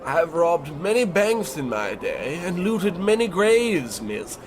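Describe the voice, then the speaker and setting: A man's voice: high-pitched, very animated, slow, over music, exaggerated voice. A man playing a courtly, grandiloquent robber in an old-time comedy radio play. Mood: solemn awe. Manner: formal, grandiloquent.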